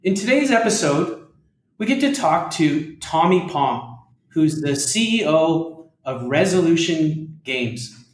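A male podcast host with a slightly higher-pitched voice, speaking as an interviewer. The recording is roomy, with clear echo around his voice. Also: sing-song, takes it slowly, a little hiss or hum. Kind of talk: podcast interview, welcoming introduction. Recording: roomy, echoing sound.

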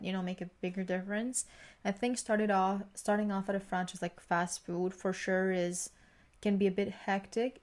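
A woman's voice: mid-range voice, a little mellow. A young woman with a slight Greek accent chats casually as a podcast co-host, explaining things in a rambling, reflective way. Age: young adult. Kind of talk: casual conversation, sharing work experiences.

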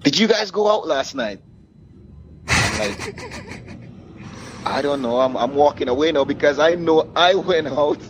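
A man's voice: fairly high pitch, animated, normal speed. A man, a DJ guest telling casual anecdotes in an interview and acting out the dialogue. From Caribbean. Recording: muffled, clearly noisy room recording.

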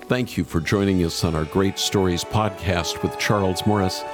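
A man hosting a podcast in a measured, appreciative conversational way. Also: low voice, fairly even intonation, over music. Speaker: radio and podcast host.

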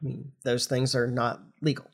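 A man's voice: mid-range voice, flat delivery, normal speed. A middle-aged man with a nasal, congested voice from a recent flu, chatting casually as a podcast host. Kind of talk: casual chat, explaining news informally.

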